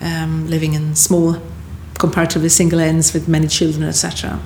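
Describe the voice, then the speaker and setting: A woman's voice: deep voice, completely flat delivery, a little fast, a little mellow. Swedish-accented woman, echoey room. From Sweden. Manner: thoughtful, hesitant with many fillers. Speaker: guest, Lord Provost. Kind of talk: casual interview chat. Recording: echoey room, faint background noise.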